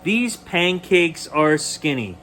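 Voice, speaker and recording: A man's voice: on the high side, big pitch swings, takes it slowly, pushed and bright, exaggerated voice. A man voicing an angry, stubborn little boy in a storybook, with a grumpy, defiant manner.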